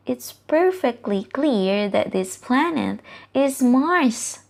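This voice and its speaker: Woman as plucky young boy adventurer, very slow delivery. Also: a little high, heavily acted. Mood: certain.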